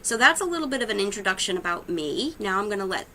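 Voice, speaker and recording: Chatty Boston-accented woman with a bright voice. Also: medium pitch, very animated, fast talker, slight background noise. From Massachusetts, USA. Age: 30s. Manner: chatty, rambling with many ums. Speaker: vlogger introducing her channel. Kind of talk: casual talk to camera.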